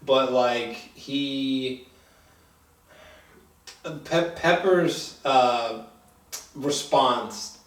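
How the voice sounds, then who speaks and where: A man chatting casually about a film, halting and hesitant, circling back and swearing casually. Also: mid-range voice, a bit bright. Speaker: film review podcast co-host. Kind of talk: casual chat, film review. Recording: roomy echo, distant microphone.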